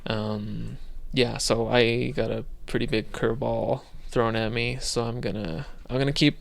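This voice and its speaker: A man's voice: mid-range voice, steady tone, measured pace, gravelly. A man co-hosting a casual podcast, chatting loosely with many fillers and restarts. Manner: rambling, lots of fillers and restarts. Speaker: podcast co-host.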